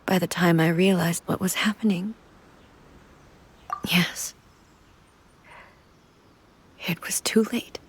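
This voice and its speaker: An actress voicing a solemn, dignified immortal female ruler in a video game, formal and grave, with a soft voice that turns breathy at times. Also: on the low side, fairly even intonation, a little hiss or hum, close to an everyday voice. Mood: sorrowful. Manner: formal, measured, grave storytelling. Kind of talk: video game character acting.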